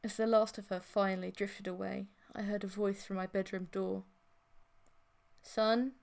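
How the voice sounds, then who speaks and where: A woman's voice: medium pitch, level tone, brisk, vocal fry, a little mellow. A woman reading a first-person story as an audiobook narrator, with dramatic scripted delivery.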